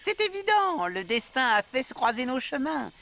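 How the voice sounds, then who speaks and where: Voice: high-pitched, big pitch swings, moderate pace, vocal fry, sharp tone, exaggerated voice. A performer voicing a cocky, optimistic little creature adventurer in a cartoon, confident and encouraging. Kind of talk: French cartoon dubbing.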